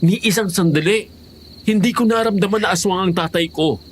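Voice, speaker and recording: A man's voice: sits high, brisk, a little hiss or hum, close to an everyday voice. A man playing a loving but hard-headed young husband, earnest and dramatic, in a horror audio drama. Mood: defensive. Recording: music behind the voice.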